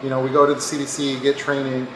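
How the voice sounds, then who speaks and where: A man's voice: mid-range voice, completely flat delivery, fast, background noise. A middle-aged man, a microbiologist, giving a casual interview, talking loosely with lots of filler phrases. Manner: loose, informal, frequent "like" and "you know". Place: laboratory indoors. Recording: echoey room.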